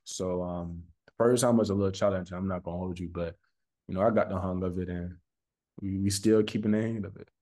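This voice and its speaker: A man's voice: low voice, fairly even intonation, a little fast, mellow. An American man from Florida chatting casually as a music artist in an interview, with slangy, repetitive phrasing. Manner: informal, slangy, repeats phrases for emphasis. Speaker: recording artist being interviewed.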